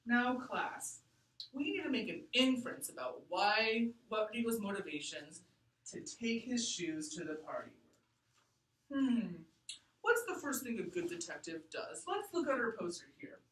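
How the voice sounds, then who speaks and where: Woman teacher in an echoey room. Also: on the high side. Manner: deliberate, expressive, thinking aloud. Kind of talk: read-aloud lesson to a class. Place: classroom. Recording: echoey room, distant mic.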